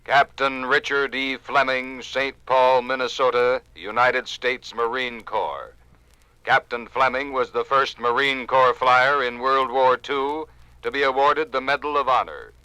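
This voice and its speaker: A man's voice: mid-range voice, fairly even intonation, a little slow, bright, forceful tone, clear, resonant tone, dry recording, poor audio. A man announcing in a formal, citation-like style on a 1950s radio program.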